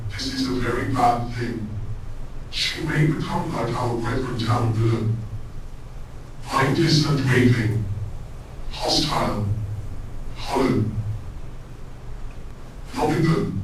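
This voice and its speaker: A man voicing a solemn, worried clockwork automaton in a video game, speaking in grave, measured phrases. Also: medium pitch, close to an everyday voice. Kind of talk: video game character dialogue. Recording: echoey, distant, faint background noise.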